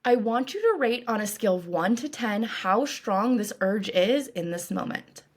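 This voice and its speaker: A woman coach leading a guided meditation in a calm, reassuring, instructive way, with deliberate phrasing. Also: medium pitch, slightly rough. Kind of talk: guided meditation, scripted narration.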